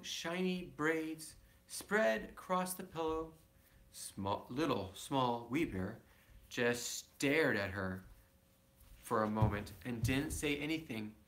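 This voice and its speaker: A man's voice: mid-range voice, big pitch swings, slow pace, fairly bright tone. A man reading a children's storybook aloud as a father, adding simple, casual asides to his child.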